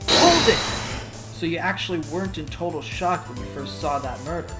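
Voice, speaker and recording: A man's voice: a little high, sing-song, normal speed. A man playing an earnest, determined young defense lawyer in an amateur courtroom audio drama, with music behind him. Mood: forceful, accusing.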